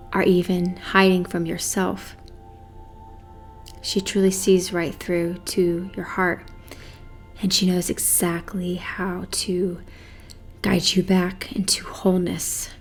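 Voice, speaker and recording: Female meditation guide: unhurried, soothing, very steady pitch, breath-soft phrase endings.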